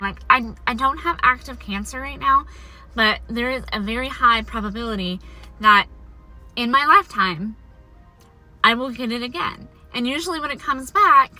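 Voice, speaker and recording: A young woman speaks candidly and warmly to her viewers, her voice pitched high and very bright because of a prosthetic in her mouth. She moves between reflection and encouragement in an open, personal way. Faint music plays quietly under her voice. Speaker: vlogger sharing her cancer survival story. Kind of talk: personal talking-to-camera vlog.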